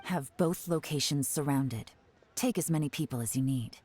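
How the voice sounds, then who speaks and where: An actress playing a calm, cunning female spymaster who gives orders to her agents. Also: deep voice, normal speed, faint music behind, natural voice. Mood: commanding. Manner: calm, sly and commanding. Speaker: commander of agents. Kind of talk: game voice acting.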